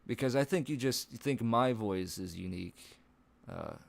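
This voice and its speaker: A man chatting casually on a live stream from home, rambling and swearing, laughing at himself, with a low voice that breaks into odd higher notes. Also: lively intonation, unhurried, gravelly.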